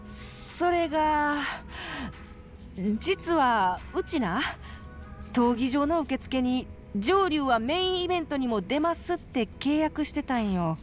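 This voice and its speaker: A woman's voice: high-pitched, takes it slowly, faint music behind. A woman voicing a brash, coaxing female fight promoter with a Kansai accent in a video game. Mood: sheepish pleading. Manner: animated, coaxing, emphatic. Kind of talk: video game cutscene acting.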